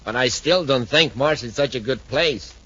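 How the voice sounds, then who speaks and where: A man's voice: medium pitch, moderate pace, sharp tone, husky. Cocky henchman, muffled old radio. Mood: protesting, yielding. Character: cocky but compliant male criminal henchman. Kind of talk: 1950s radio villain acting. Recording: muffled 1950s radio recording.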